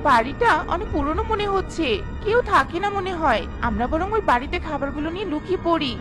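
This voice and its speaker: A woman's voice: very high voice, animated, fast talker, music in the background. A woman voicing an eager young female bird, always hungry, in a children's fairy tale cartoon. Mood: scheming.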